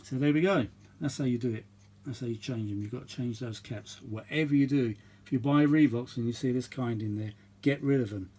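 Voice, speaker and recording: A man's voice: mid-range voice, a little fast, dark tone, breathy edge. A middle-aged or older man giving casual, chatty repair commentary, rambling and thinking aloud as he works. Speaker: vintage audio repair vlogger.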